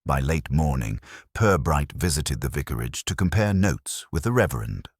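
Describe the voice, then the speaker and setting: A man reading a scripted mystery story in a measured, formal storytelling manner. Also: extremely low pitch, animated, slightly rough, no echo, clean studio sound. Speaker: audiobook narrator.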